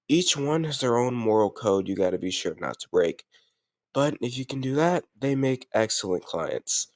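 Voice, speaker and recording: A man's voice: mid-range voice, dry recording, lightly acted. A man playing a doting but ruthless mafia boss in an intimate audio roleplay, commanding yet affectionate, using gangster slang.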